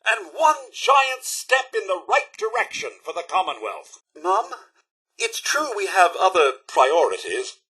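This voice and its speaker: High, fussy British robot butler. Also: male voice, huge pitch swings, unhurried, sharp tone, breathy edge, exaggerated voice. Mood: approving, earnest. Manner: prim, formal, theatrical, deferential. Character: fussy, polite, loyal male robot butler. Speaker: voice actor. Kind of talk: video game companion dialogue.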